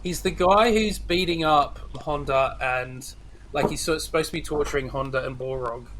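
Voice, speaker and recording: Higher-pitched man chatting about films, noisy recording. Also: normal speed. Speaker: podcast host. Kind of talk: casual film discussion.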